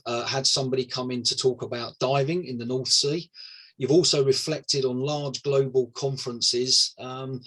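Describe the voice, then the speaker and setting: Chatty middle-aged man opening a webinar. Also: medium pitch. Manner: warm, lightly joking, with repeated restarts. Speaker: institution president-elect opening a webinar. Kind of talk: conversational speech to a webinar audience.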